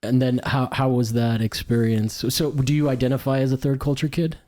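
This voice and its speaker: A man hosting a podcast interview, asking questions in an easygoing way with halting restarts. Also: medium pitch, level tone, warm tone. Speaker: podcast host. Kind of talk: casual interview conversation.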